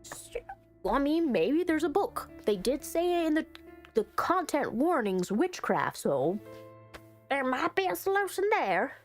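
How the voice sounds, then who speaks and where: A woman doing let's play commentary on a visual novel, reading it aloud and chatting with expressive, playful asides. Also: high-pitched, unhurried. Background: soft music.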